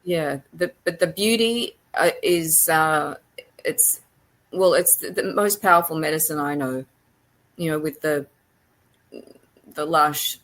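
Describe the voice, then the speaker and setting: An Australian-accented woman talks as a guest on a podcast. Her voice is notably low for a woman. She speaks conversationally, feeling her way through thoughts in halting, reflective phrases. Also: a bit bright. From rural Australia. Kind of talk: casual interview chat.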